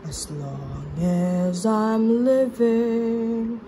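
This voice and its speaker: A woman's voice: a little high, fairly even intonation, extremely slow, over music. A woman playing a loving grown son who sings a tender lullaby in a storybook. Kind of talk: slow sung lullaby.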